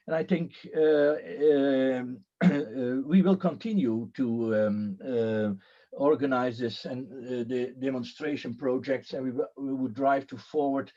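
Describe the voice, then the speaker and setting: A man's voice: medium pitch, fairly even intonation, a little slow, warm tone. Hesitant man on a muffled call. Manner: hesitant, many fillers and restarts. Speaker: panelist. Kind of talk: conference panel remarks.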